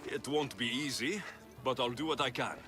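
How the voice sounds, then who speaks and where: An actor playing a practical young Greek mercenary hero in a video game, blunt and plain-spoken. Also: male voice, medium pitch, normal speed, bright, forceful tone, faint music behind, natural voice. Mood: doubtful. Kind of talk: video game cutscene acting.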